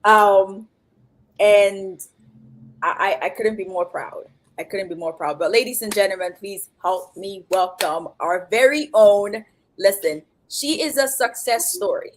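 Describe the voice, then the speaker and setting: A woman's voice: medium pitch, measured pace, poor audio. A woman hosting a live stream, effusive and repeating phrases for emphasis as she introduces and welcomes. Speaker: host.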